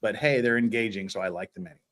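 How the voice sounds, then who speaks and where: A man's voice: fairly low pitch, rapid-fire, fairly bright tone. A man hosting a casual livestream, joking with viewers and playfully teasing them. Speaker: livestream host. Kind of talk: casual livestream chat, thanking viewers and reading comments.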